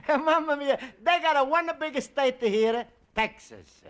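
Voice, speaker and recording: High-voiced Italian immigrant, old radio comedy. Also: male voice, slow pace, a bit bright, heavily acted. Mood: wistful, amused. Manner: broken English, adding extra vowels to words. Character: cheerful, naive Italian immigrant man writing home. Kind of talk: 1950s radio comedy acting. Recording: noisy old radio recording with clicks.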